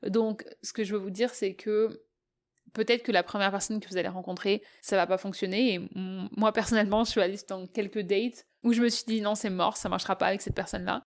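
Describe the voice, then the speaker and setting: A woman's voice: mid-range voice, steady tone, brisk. A French woman from southeast France talking casually in a podcast, friendly and conversational, with frequent hesitations. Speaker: podcast host.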